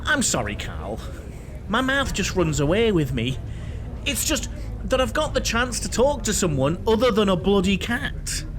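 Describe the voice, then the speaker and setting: A man's voice: high voice, big pitch swings, normal speed, a crowd in the background. An actor in an audio play voicing a chatty, lonely, apologetic middle-aged Northern English man, naive and eager to please.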